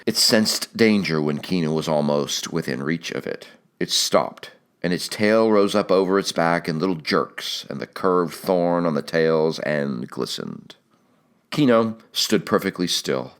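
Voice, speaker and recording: Man, deep low voice, scripted literary narration, measured storytelling, dramatic delivery. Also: expressive, clean tone. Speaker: audiobook narrator.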